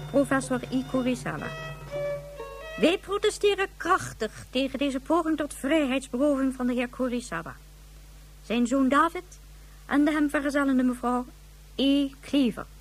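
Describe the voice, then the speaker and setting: A haughty, indignant Ganymede official, speaking formally in a high voice. The radio play frames the official as easily offended and quick to protest. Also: female voice, soft background music, rough recording. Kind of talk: radio drama acting.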